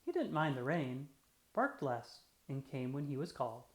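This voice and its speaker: A storyteller reading a children's picture book aloud with deliberate, expressive phrasing for young listeners. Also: medium pitch. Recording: roomy, echoey sound.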